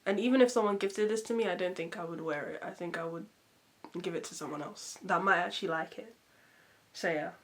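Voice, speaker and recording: A woman reviewing perfumes in a casual vlog. She sounds nasal and muffled from a cold, and she talks in a chatty, expressive way with lots of fillers. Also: fairly low pitch, brisk. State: congested from a cold.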